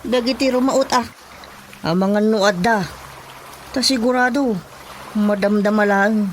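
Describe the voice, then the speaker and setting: Dutiful village watchman, Ilocano Filipino accent, chatty radio-comedy delivery, slightly high voice, faint noise. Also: unhurried. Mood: earnest, gossipy. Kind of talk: comedic radio drama acting.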